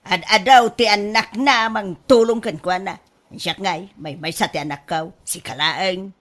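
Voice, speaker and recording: A woman's voice: medium pitch, a little slow, fairly bright tone, natural voice. A woman playing a headstrong, scheming middle-aged mother in a radio drama, speaking emphatically and insistently. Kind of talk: Ilocano radio drama acting.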